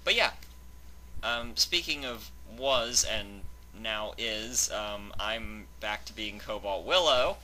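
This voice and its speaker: Bright, forceful man bantering as channel host. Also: on the low side, lively intonation, slow, slight background noise, rough recording. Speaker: fanfic reading channel host. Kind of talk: casual banter introducing a reading.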